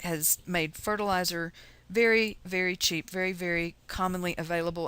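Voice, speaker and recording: Lower-pitched Texan woman teaching casually. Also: fairly bright tone. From Texas, USA. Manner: chatty, folksy, explanatory. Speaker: chemistry teacher. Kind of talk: informal recorded lesson.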